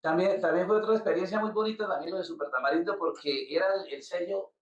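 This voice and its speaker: A man from Colombia, a musician being interviewed, talking casually and haltingly, often repeating words as he searches for them. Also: high-pitched, a crowd in the background. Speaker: guest musician. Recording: roomy, distant, dull lo-fi sound.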